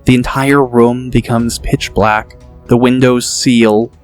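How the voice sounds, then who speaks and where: Male audiobook narrator, mid pitch, steady and descriptive. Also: takes it slowly, faint music behind.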